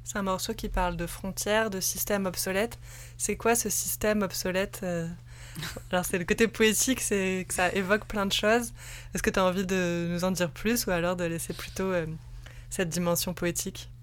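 A woman hosting a radio interview, asking gentle, open questions with small pauses. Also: mid-range voice, steady tone, slight background noise.

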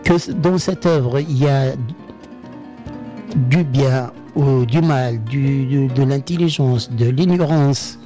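A male radio host, not a native French speaker, talking reflectively and haltingly, often pausing to search for words. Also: medium pitch, mellow, soft background music. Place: radio studio.

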